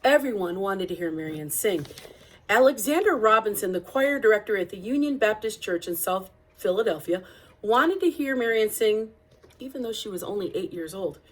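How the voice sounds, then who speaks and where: A woman reading a picture book aloud as narrator, with deliberate, expressive phrasing. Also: mid-range voice. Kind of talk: read-aloud storybook narration.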